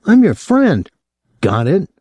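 A man's voice: slow, slightly rough, no room sound, clean studio sound, exaggerated voice. A man doing a whiny, nosy teenage boy. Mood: aggrieved. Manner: whining, high and excited.

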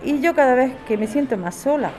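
A woman's voice: high-pitched, lively intonation, a little fast, a little mellow. A woman with a Spanish accent from Spain playing a resolute older mother in a radio serial. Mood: wistful. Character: warm, resolute mother starting life with a companion. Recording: crowd chatter behind the voice.

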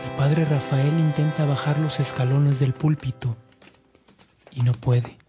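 An actor playing a solemn, restrained storyteller, narrating a radio drama in a measured way. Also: male voice, mid-range voice, fairly even intonation, music in the background, lightly acted. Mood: solemn, hushed.

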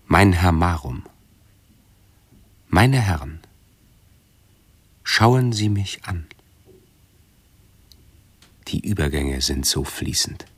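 An actor playing an anxious, pedantic, self-absorbed male hypochondriac in a radio play, with a husky, breathy voice and halting, formal phrasing. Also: low voice, fairly bright tone, no room sound, full character voice. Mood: agitated, indignant. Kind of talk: 1970s German radio play acting.

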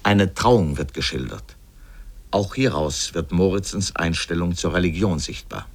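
Bookish opinionated critic, low voice, emphatic, scripted radio essay style. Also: male voice, a little slow. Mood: emphatic, admiring. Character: erudite, opinionated male literary critic. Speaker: essayist speaker in a radio feature.

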